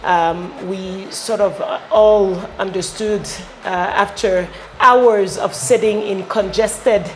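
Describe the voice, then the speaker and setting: A middle-aged woman from Ghana, a company founder, answering interview questions with many pauses and fillers. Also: mid-range voice, fairly even intonation, slight background noise, rough recording. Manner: halting, many fillers and restarts. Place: showroom with electric bikes. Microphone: lapel.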